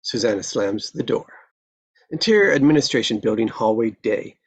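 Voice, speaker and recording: A narrator reading screenplay stage directions at a table read, in scripted present-tense narration with a husky voice. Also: medium pitch, animated, normal speed.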